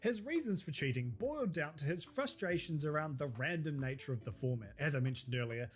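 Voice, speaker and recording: Lively, nasal-voiced man narrating wryly. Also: fairly high pitch, a little fast, soft background music. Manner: wry, deadpan humor. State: stuffy head cold. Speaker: video essay narrator. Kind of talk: scripted narration.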